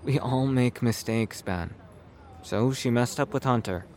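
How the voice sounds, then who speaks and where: A man's voice: medium pitch, unhurried, a little mellow, slight background noise, close to an everyday voice. A man playing a frank, coaxing friend in an audio drama.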